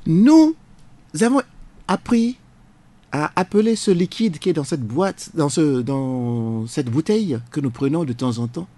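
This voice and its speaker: A man's voice: fairly high pitch, lively intonation, measured pace, mellow. A man, a jurist guest on a radio debate, arguing emphatically with a preacherly cadence. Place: radio studio.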